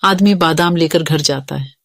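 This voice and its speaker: A woman's voice: low voice, normal speed, creaky, dry recording, studio quality. A woman narrating a scripted children's story.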